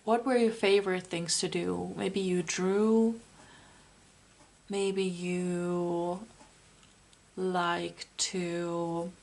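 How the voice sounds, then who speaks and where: Hoarse woman rambling thru a tarot reading, gravelly fry in her voice, talks right to you. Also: fairly low pitch, flat delivery, extremely slow, long pauses, darkish tone. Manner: chatty, meandering, addresses viewer directly. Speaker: tarot reader. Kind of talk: casual pick-a-card tarot reading.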